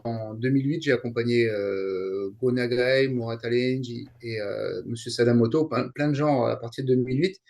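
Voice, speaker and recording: A man giving a casual interview as a guest, explaining his work in a halting, conversational way. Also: mid-range voice, fairly even intonation, vocal fry, a little mellow. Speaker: interview guest, translator and event coordinator.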